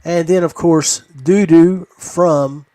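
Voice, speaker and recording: An American man hosts a casual live podcast. His voice sits a little higher than most men's. The sound is dry and close, with almost no room in it. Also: fairly even intonation, slow pace, warm tone, no room sound. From United States, West Virginia. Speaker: podcast host.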